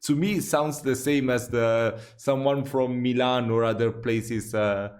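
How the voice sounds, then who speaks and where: A man's voice: medium pitch, fairly even intonation, unhurried, darkish tone. Guy talking over a clip in a reaction vid, chatty, lots of uh and little laughs. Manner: chatty, thinks aloud with fillers and small laughs. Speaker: reaction video host. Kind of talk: casual reaction commentary.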